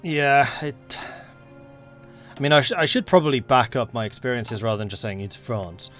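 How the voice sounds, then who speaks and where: A man's voice: medium pitch, moderate pace, faint music behind. A young man with an English accent, casually chatting with viewers and reading game events aloud as he plays. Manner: relaxed, rambling, reads game events aloud. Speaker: streamer.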